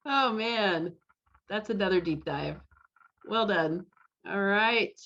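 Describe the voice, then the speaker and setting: A woman's voice: mid-range voice, takes it slowly, fairly bright tone, muffled recording. A woman casually hosting an online group meeting, chatting and reacting to guests over a video call. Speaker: host of an online fan club meeting.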